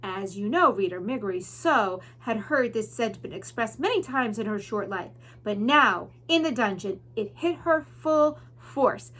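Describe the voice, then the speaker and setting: A woman's voice: fairly high pitch, expressive, unhurried, hardly any pauses, fairly bright tone. A woman narrating a children's book expressively, like a teacher reading aloud to pupils.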